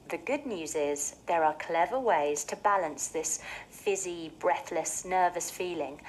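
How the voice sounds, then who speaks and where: A woman hosting a scripted mindfulness session for children, speaking in a soothing, clearly phrased, gently expressive way. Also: fairly low pitch, slight background noise, rough recording. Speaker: host of a children's mindfulness show.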